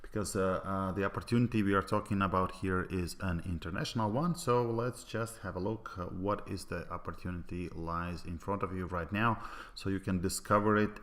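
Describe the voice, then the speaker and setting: A middle-aged man with a very low voice and a Latvian accent gives an online presentation in English. He speaks hesitantly, with frequent fillers and restarts. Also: fairly bright tone.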